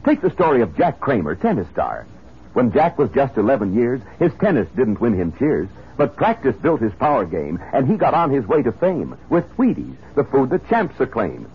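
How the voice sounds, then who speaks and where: A man delivering a 1950s radio advertisement in punchy, upbeat patter as a pitchman. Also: wildly swooping pitch, moderate pace, slightly rough. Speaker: commercial pitchman. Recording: muffled old radio broadcast.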